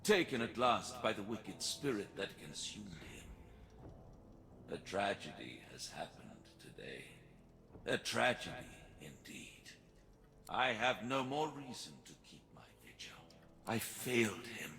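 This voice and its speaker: A man playing a grieving guardian in a fantasy game, speaking in a hushed, mournful, dramatic way. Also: fairly low pitch, sing-song, measured pace, creaky, exaggerated voice. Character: grieving male guardian keeping a failed vigil.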